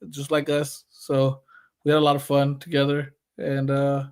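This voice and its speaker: A man's voice: mid-range voice, monotone, moderate pace, a little mellow, clean tone. A man from South Florida chatting in a casual, joking way on a podcast. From South Florida, United States. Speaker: podcast participant.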